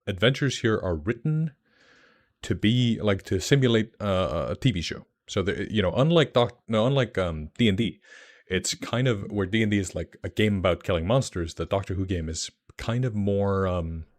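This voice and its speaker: Low-voiced Icelandic man chatting casually. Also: animated, normal speed. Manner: rambling, enthusiastic, many fillers and restarts. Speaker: streamer. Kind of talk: casual livestream chat.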